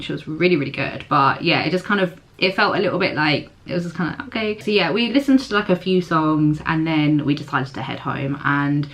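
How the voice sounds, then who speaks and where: A young woman with a husky, hoarse voice, chatting casually to camera in a vlog and using lots of fillers. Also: sits low. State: hoarse, recovering voice. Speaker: vlogger.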